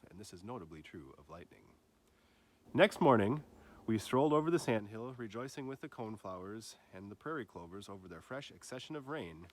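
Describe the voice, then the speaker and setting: A man reading a literary nature essay aloud like an audiobook, with measured, deliberate phrasing, as a teacher would for a class. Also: mid-range voice, slight background noise.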